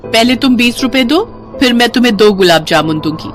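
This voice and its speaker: Woman acting a high-voiced harried young village mother. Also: lively intonation, a little fast, faint music behind, close to an everyday voice. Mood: businesslike. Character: poor young village mother and daughter-in-law, kind but harried.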